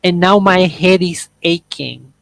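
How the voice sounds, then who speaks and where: A man's voice: high-pitched, unhurried, creaky, no room sound. A young man whose first language is Spanish, leading a casual English conversation workshop and eagerly explaining his points with everyday examples. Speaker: workshop leader.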